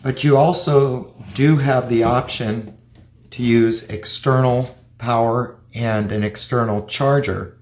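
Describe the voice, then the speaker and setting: A man's voice: medium pitch, steady tone, slow pace, darkish tone, a little hiss or hum. A man giving a hands-on gadget review, explaining features plainly and methodically, step by step. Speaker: product reviewer.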